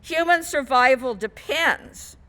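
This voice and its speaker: High-pitched woman preaching, slow and bright. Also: low-quality recording. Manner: deliberate, emphatic, measured phrasing. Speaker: guest minister preaching. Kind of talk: sermon.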